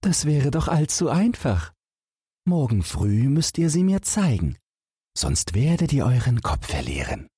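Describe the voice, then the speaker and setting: A man's voice: medium pitch, big pitch swings, a little slow, mellow, husky, no echo, clean studio sound, pitched above the performer's own voice, heavily acted. A man voicing a haughty, commanding young noblewoman possessed by evil spirits in a fairy tale, with aloof, courtly phrasing. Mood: teasing, demanding.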